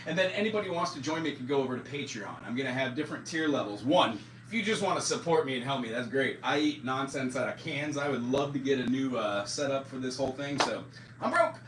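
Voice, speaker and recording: A man's voice: mid-range voice, animated, quick pace, fairly bright tone, a little hiss or hum. A man in his forties chatting casually on a live stream as a music teacher. He talks loosely and pokes fun at himself, with plenty of fillers. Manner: loose and self-deprecating, with many fillers. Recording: roomy echo, distant mic, music underneath.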